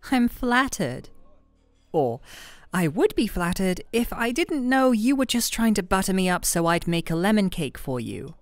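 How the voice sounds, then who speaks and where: A woman's voice: mid-range voice, dry recording. A woman playing a playful, affectionate young best friend in an ASMR roleplay, chatting casually and teasing her listener. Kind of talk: ASMR roleplay audio, conversational acting. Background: soft background music.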